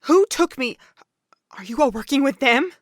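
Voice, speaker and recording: A high-voiced young woman in an audio drama, scared and indignant at the strangers around her, acted by a woman. The sound is dry and close. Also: expressive, normal speed, no echo. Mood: angry, demanding. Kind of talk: amateur audio drama acting.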